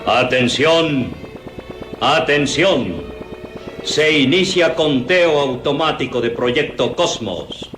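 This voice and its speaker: Radio drama general: firm, grave declamation, animated intonation, echoing loudspeaker sound. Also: male voice, medium pitch, takes it slowly. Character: firm, commanding general at a rocket base. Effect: loudspeaker echo. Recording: echoing radio serial, faint music.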